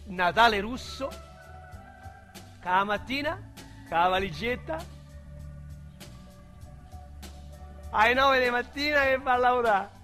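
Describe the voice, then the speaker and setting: A man's voice: extremely high pitch, normal speed, sharp tone, soft background music. A young man with a Roman accent, acting a crude, boastful small-time ex-convict in a radio drama, talking in blunt, mocking street slang. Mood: rueful bragging. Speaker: lead actor.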